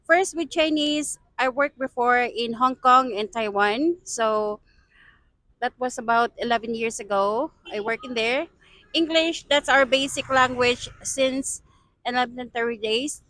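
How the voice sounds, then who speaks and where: Filipino woman, high voice, dry close sound, street interviewee. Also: a bit bright, dry recording, low-quality recording. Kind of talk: casual answers to a street interview.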